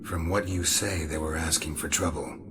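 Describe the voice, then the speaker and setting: A man playing a gruff, stoic monster hunter in a video game, gravelly and terse, with an American accent. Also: normal speed, very noisy. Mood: stern, warning. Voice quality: gravelly, low. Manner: terse, deadpan, clipped. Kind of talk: video game character acting.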